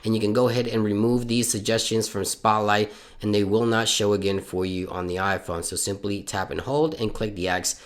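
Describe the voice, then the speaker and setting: A man's voice: fairly low pitch, little pitch movement, fairly quick. A man from Puerto Rico, a bilingual Spanish speaker, hosting a tech channel and walking viewers through phone tricks step by step in an upbeat, instructional way. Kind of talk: tech tips walkthrough.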